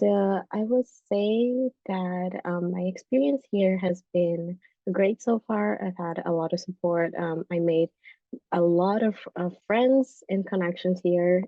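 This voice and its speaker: A woman's voice: on the low side, vocal fry, dry recording. A young woman from Brazil, speaking earnestly and in a friendly way as a student panelist. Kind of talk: casual panel talk. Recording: tinny phone-like call audio.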